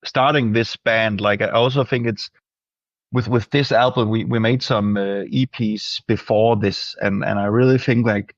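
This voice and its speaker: A man's voice: fairly low pitch, steady tone, unhurried, no echo, highs cut off. A Danish man, a band's singer, answering interview questions hesitantly with many restarts. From Denmark. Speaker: band singer.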